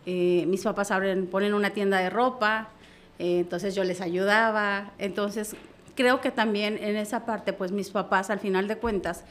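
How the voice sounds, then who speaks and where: A woman speaks as a podcast guest. She tells her stories in a lively, conversational way, acting out quoted dialogue and often ending phrases with a questioning tag. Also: mid-range voice, unhurried, a little hiss or hum. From Mexico. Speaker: business consultant guest. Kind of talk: casual interview storytelling.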